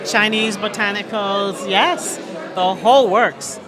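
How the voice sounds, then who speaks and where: A woman's voice: medium pitch, steady tone, takes it slowly. A young adult woman from Jamaica with a Jamaican accent, a doctor chatting in an interview at a party and speaking with conviction. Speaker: guest, Chinese medicine doctor. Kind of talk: event interview chat. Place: busy indoor party. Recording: crowd noise of a busy party. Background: crowd chatter.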